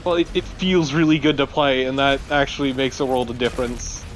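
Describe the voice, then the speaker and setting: A man's voice: medium pitch, brisk, faint music behind, low-quality recording. A man giving casual co-commentary on a video game, with an opinionated, teasing way of talking. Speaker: let's play co-commentator.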